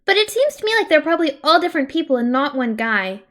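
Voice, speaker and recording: A woman reads as a sweet teenage girl in a web comic read-aloud. The voice is high and swings freely in pitch. She sounds gentle, patient and reassuring. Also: big pitch swings, quick pace. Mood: patient, mild. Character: gentle, upbeat teenage girl chatting online.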